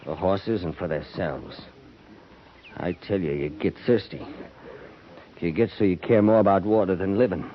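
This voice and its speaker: A man's voice: deep voice, normal speed, pauses often, natural voice. Actor as brooding, folksy American veteran cavalryman, dull sound. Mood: brooding, intense. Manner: folksy, rambling, hesitant. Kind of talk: 1950s American radio western acting. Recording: dull, noisy 1950s radio transcription.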